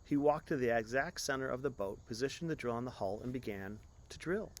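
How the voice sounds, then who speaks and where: A man reading a children's-style story aloud as an expressive storyteller. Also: medium pitch, a little fast. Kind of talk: expressive scripted story narration.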